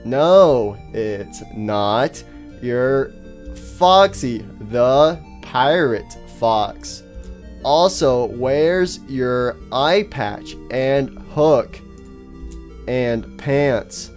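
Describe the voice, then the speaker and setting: Plodding, blunt animatronic, played by a man, very slow word-by-word delivery, long gaps, comedy skit. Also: medium pitch, lively intonation, faint music behind. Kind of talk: amateur comedy skit voice acting.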